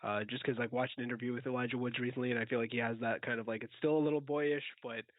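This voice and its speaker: Man, podcast co-host, rapid informal speech, dry narrow-band video call audio. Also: medium pitch, fairly even intonation, no room sound. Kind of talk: casual movie chat.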